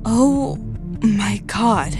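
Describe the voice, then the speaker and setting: A woman's voice: medium pitch, drawn-out words, music in the background. An actress playing an anxious, caring young woman in naturalistic drama dialogue. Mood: worried.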